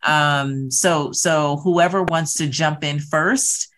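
A woman's voice: deep voice, slow pace, fairly bright tone, slight background noise. A woman hosting a panel discussion, speaking thoughtfully with frequent fillers. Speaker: host.

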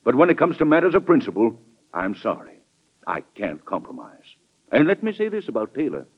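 A man's voice: medium pitch, huge pitch swings, fairly quick, breathy edge. An actor playing a principled, hot-tempered American statesman of fifty in an old-time radio drama, formal and emphatic, deferential yet stubborn. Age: 50s. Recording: muffled vintage radio drama recording.

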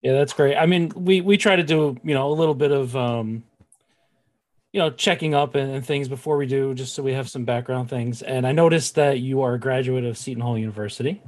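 A man's voice: mid-range voice, fairly quick. A man co-hosting a sports podcast in a casual, opinionated fan style.

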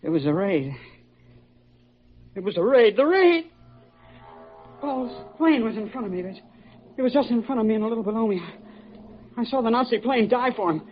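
Haunted, halting young radio-drama veteran. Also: male voice, very high voice, lively intonation, a little hiss or hum. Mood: rising panic. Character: guilt-ridden, tormented young male war veteran under sedation. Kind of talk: classic American radio drama acting. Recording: dull old radio recording with music.

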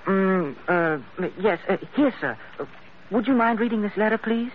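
Earnest high-voiced runaway boy. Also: lively intonation, normal speed. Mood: anxious, earnest. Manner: hesitant, polite, breathlessly earnest. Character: earnest, eager runaway boy with treasure dreams. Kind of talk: old-time radio drama acting. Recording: tinny, noisy old radio recording.

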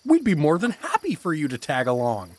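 A man's voice: fairly high pitch, huge pitch swings, a little fast. A man playing a bumbling, good-natured married everyman hero, hesitant and folksy, in a fantasy comedy audio drama. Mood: flustered, friendly.

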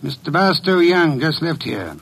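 An actor playing an erudite, imperious private detective in an old-time radio drama, speaking formally and precisely with dry wit. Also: male voice, a little high, big pitch swings, moderate pace, creaky, fairly bright tone, breathy edge, full character voice. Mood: dry, probing. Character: erudite, imperious male private detective, unhurried and dryly witty.

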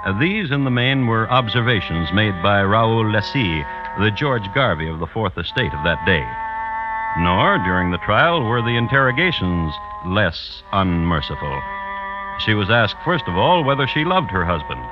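A man's voice: lowish voice, sing-song, moderate pace, over music. A man narrating an old-time radio crime drama as a dry, wry storyteller with formal phrasing. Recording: dull old radio recording.

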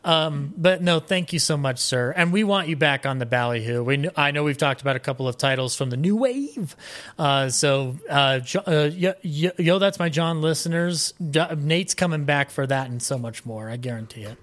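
A man with a somewhat high voice chats as a podcast guest. His delivery is halting but effusive, in a loose conversational style. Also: lively intonation, a bit bright. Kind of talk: casual chat.

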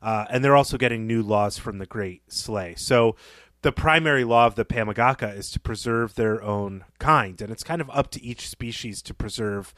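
A man with a slightly low voice co-hosts a literary discussion podcast. He explains his reading in a measured, scholarly manner. The sound is close and dry, with very little room on it. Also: fairly bright tone, no echo. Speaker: podcast co-host.

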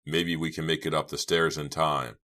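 A man's voice: very deep voice, little pitch movement, moderate pace, pushed and bright. A man voicing a desperate, determined young man in an audiobook, speaking with urgency. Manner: urgent, exclaiming. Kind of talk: audiobook character voice.

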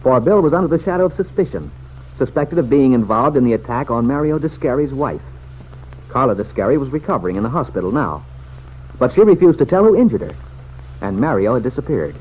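A man's voice: medium pitch, big pitch swings, a little fast. A man narrating a scripted vintage radio serial in a formal, dramatic storytelling manner. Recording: dull, hissy vintage radio recording.

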